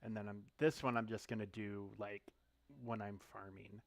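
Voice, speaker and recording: Gravelly man muttering through a game stream. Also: fairly low pitch, moderate pace, dry recording. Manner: relaxed, mutters to himself, thinks aloud. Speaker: gaming streamer. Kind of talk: casual live-stream commentary while playing.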